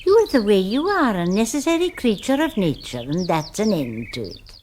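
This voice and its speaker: A woman's voice: medium pitch, big pitch swings, a little mellow, a little hiss or hum, close to an everyday voice. Woman as a firm governess in long reverb. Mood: firm, admonishing. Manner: firm, didactic, measured. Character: stern, devoted old governess to a vampire countess. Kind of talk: BBC radio drama acting.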